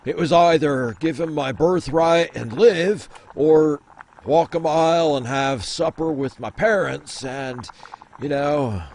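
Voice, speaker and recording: Aggrieved rugged elder brother , acted by a man: slowish, highish gravelly voice, sardonic, for comic radio play. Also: a little mellow, slight background noise. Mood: rueful, sardonic. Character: rough outdoorsy elder twin, aggrieved, sardonic, dim. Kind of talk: comic audio drama interview.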